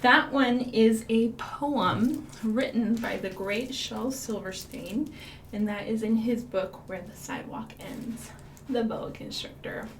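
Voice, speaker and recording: A woman's voice: fairly high pitch, slow pace, a little hiss or hum. A woman with a Midwestern American accent, a children's librarian leading storytime with songs and read-alouds in a playful, sing-song way with dramatic whispers.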